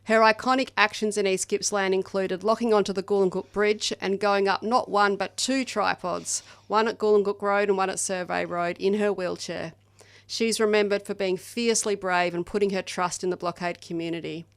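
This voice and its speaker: A woman's voice: medium pitch, fairly even intonation, moderate pace, smooth, no room sound. Australian female reader, scripted memorial narration. Resonant tone, close dry sound. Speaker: podcast reader of memorial tributes. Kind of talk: scripted tribute reading.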